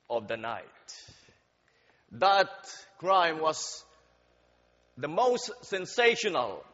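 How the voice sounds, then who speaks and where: A man in a somewhat high voice gives a sermon at an unhurried, deliberate pace, stumbling now and then over fillers. His story climbs in force as it builds. The sound is dull and carries a long reverb through the church hall. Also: a bit bright. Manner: slow, deliberate, halting delivery with fillers. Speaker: guest preacher.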